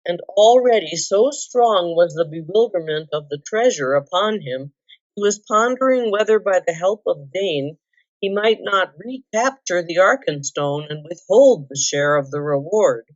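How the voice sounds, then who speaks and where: A woman reading a storybook aloud as narrator, with an expressive, dramatic delivery. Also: fairly low pitch, unhurried. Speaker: volunteer reader. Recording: dull lo-fi roomy recording.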